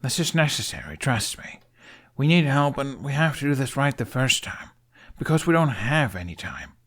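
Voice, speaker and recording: A male narrator puts on an eager teenage Viking boy, pitched well up and full of nervy energy. He thinks aloud in animated, halting bursts, stubborn and scheming, in storybook style. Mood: insistent, confident.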